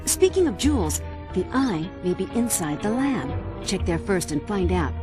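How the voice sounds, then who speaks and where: An actress playing a poised, lightly flirty spy who gives mission briefings in a video game. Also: female voice, medium pitch, very animated, moderate pace, over music, close to an everyday voice.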